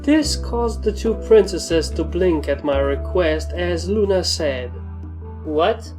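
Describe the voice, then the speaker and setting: A woman's voice: on the low side, lively intonation, slow. A woman narrating fan fiction as a reader and host. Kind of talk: story narration. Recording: music under the voice. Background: background music.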